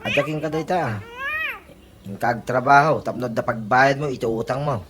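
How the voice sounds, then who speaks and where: A man with an Ilocano accent playing a gruff provincial husband in a radio comedy drama. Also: mid-range voice, moderate pace, a bit bright.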